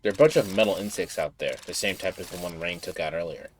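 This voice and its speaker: A man's voice: low-pitched, quick pace. A man doing casual live stream commentary while reading game text aloud, with offhand asides. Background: game music.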